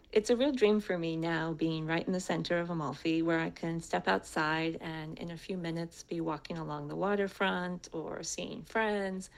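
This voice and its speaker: A woman's voice: on the low side, steady tone, normal speed, gravelly, rough recording. An American woman telling her personal story as a speaker, in a prepared but conversational way. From United States.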